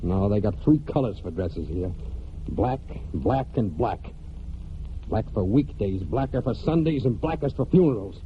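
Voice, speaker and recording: Low-voiced, halting farm man, old radio. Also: lively intonation, a little hiss or hum. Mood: bitter. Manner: plain rustic speech, halting and reticent. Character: brooding young New England farm man, wounded war veteran. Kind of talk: 1940s American radio drama acting. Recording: muffled 1940s radio broadcast with music.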